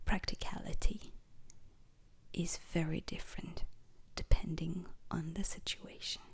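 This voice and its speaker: A woman performing a whispered ASMR roleplay as a formal, grave veteran instructor lecturing space recruits, in a breathy, deliberate voice. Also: on the low side, a little mellow, full character voice.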